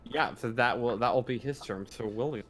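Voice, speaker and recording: A man running a tabletop roleplaying game, improvising narration and rules in a halting, repetitive way. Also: mid-range voice, over a noisy crowd. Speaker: game master.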